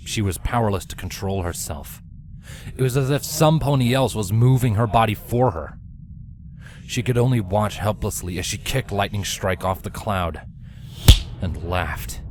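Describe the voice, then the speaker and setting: A man's voice: sits low, a little hiss or hum. A man reading scripted story narration as the narrator of a fan fiction.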